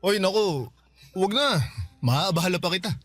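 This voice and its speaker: A man's voice: high-pitched, animated, normal speed, fairly bright tone. An actor playing a friendly, modest and brave male neighbour in radio drama dialogue, with an easygoing, chuckling way of talking.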